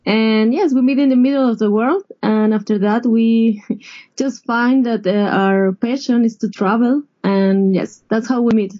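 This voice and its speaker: A woman's voice: fairly high pitch, measured pace, dull audio. A woman from Ecuador, a guest in a casual interview, speaking in simple, shy phrases.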